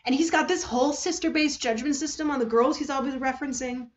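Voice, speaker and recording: A woman's voice: sits high, quick pace, close to an everyday voice. A woman voicing a scheming, cynical, unhinged teenage boy reading out his secret notes in a story. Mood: calculating.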